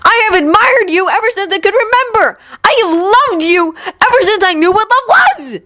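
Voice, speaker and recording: Woman voicing a shrill, furious young man. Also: animated, normal speed, heavily acted. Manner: yelling accusations. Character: distraught young man, shouting, near tears. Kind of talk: dramatic story reading.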